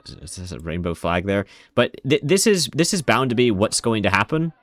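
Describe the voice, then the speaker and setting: A man's voice: fairly low pitch, sing-song, a little fast, a bit bright. A man casually commenting over video clips in a rambling, sarcastic way. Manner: rambling, sarcastic, many restarts.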